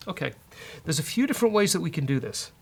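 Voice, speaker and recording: A man's voice: fairly high pitch, very animated, fast talker, gravelly, husky. A man co-hosting a coding show, talking casually and hesitantly as he thinks aloud while working. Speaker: co-host coding on a software show.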